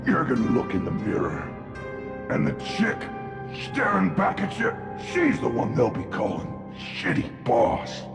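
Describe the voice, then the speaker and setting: Man acting as a creaky, taunting, vengeful character. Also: mid-range voice, huge pitch swings, unhurried, heavily acted. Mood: menacing taunt. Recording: roomy echo under loud music.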